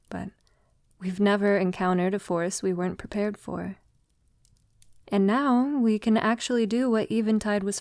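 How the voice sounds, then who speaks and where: Woman acting as an ancient lady vampire lover, soft n slow, tender n wistful, close dry roleplay audio. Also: medium pitch, dry recording, close to an everyday voice. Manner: soft, unhurried, breathy lapses into whispering. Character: centuries-old female vampire lover, tender, wistful, confiding. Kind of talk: intimate ASMR audio roleplay.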